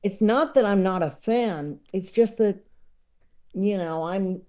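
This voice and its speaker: A woman chatting casually as a podcast guest, enthusiastic and digressive, often halting as she works out her thought. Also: mid-range voice, warm tone. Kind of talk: casual chat about books and comedy.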